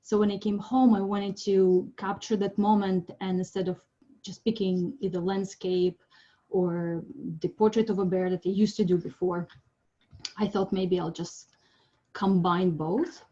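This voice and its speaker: A woman from Siberia, giving a friendly, chatty artist's talk on a video call, pausing with ums. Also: medium pitch, fairly even intonation, dark tone, muffled recording. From Siberia, Russia. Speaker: presenting artist. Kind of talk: informal online presentation.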